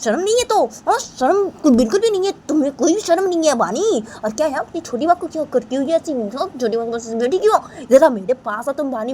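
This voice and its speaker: A woman voicing a furious young daughter-in-law who shrieks threats in a shrill, raised voice. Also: a little mellow, slight background noise, lightly acted.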